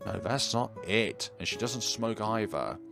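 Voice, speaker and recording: A man's voice: on the low side, animated, unhurried, creaky. A man voicing a defensive, flustered teenage schoolboy protesting in a visual novel. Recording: music behind the voice.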